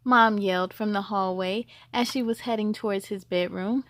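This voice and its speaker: A woman's voice: medium pitch, moderate pace, no echo, clean studio sound. A woman hosting story time, reading a children's storybook expressively and talking straight to her young listeners. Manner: expressive, addresses young viewers directly. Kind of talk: children's storybook read-aloud.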